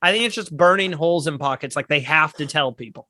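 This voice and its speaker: A man hosting a casual podcast, talking fast and animated with frequent laughs. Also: fairly high pitch. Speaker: podcast host.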